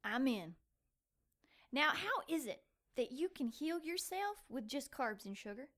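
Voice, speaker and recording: A woman's voice: sits high, big pitch swings, measured pace, a bit bright, heavily acted. An actress playing a wary, indignant woman in an audio drama, asking pointed questions. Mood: wary, offended.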